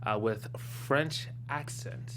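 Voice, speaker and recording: A man's voice: mid-range voice, takes it slowly, a bit bright. A man co-hosting a TV recap show, steering the chat and speaking straight to viewers. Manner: steers the talk and addresses viewers directly. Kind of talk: casual panel chat. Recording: humming background noise.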